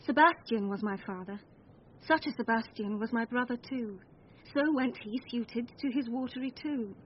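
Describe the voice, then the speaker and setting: An actress playing an earnest young woman disguised as a gentleman, in classical Shakespearean radio drama. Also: on the high side, normal speed, natural voice. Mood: tense wonder. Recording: slightly muffled radio recording, faint noise.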